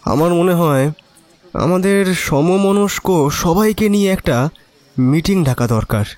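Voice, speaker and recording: A man's voice: high-pitched, expressive, takes it slowly, darkish tone, dry recording. An actor playing a cynical, resigned young neighbourhood man, talking colloquially and wryly in an audio drama. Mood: earnest. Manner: colloquial, emphatic repetition, wry. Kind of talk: Bengali audio drama acting.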